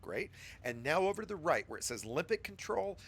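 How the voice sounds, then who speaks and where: A man with a scratchy voice from a cold leads a casual game tutorial, coaching a beginner step by step and chatting to his viewers. His voice sits fairly high and swings widely in pitch. A gravelly fry runs under his words. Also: big pitch swings, fairly quick, fairly bright tone. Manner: patient, chatty instructor addressing viewers.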